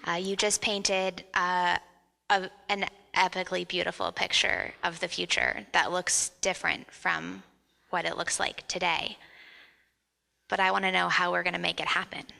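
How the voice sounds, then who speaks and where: A woman chairing a conference panel, brisk and casual as she puts questions to the panelists. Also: on the low side, little pitch movement, vocal fry, sharp tone. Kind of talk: moderating a panel. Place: large conference hall. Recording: hall reverb.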